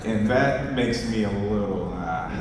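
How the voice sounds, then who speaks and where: A man's voice: lowish voice, lively intonation, measured pace. A man co-hosting a live panel with informal, slangy, bantering talk. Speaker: panel co-host. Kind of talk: casual bantering panel chat. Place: large convention panel room with audience. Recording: echoey hall, distant mic, audience noise.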